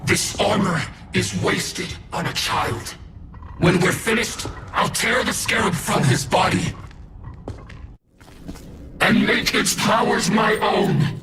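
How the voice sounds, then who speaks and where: A brutal gorilla king speaks in a guttural boom. His menacing, imperious delivery is slow and swings dramatically in pitch, over loud cinematic game music. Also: wildly swooping pitch, exaggerated voice. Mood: ravenous menace. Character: brutal arrogant talking gorilla warlord king. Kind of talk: video game cutscene acting.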